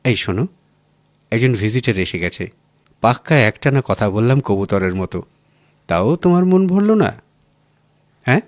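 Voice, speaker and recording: A man's voice: mid-range voice, lively intonation, unhurried, long pauses, no room sound, close to an everyday voice. A man reading an audiobook voices a wealthy, self-assured office boss with a casual, patronising manner. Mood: flirtatious, easygoing. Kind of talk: audiobook character dialogue.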